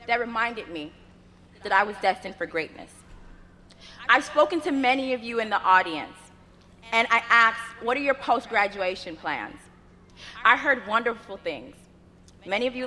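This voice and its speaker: A young woman whose first language is Yoruba, giving an earnest commencement address at a podium microphone with measured, rhythmic phrasing. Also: a little high, animated, pushed and bright. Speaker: graduate student speaker. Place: graduation ceremony venue. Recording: long reverb of a large ceremony space.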